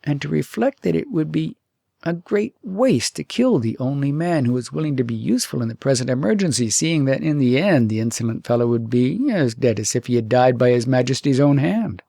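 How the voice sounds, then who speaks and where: A man reads a fairytale as an audiobook storyteller. His mid-pitched voice has a gravelly creak, and he narrates expressively in a dry, close sound. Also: expressive, brisk, mellow, dry recording.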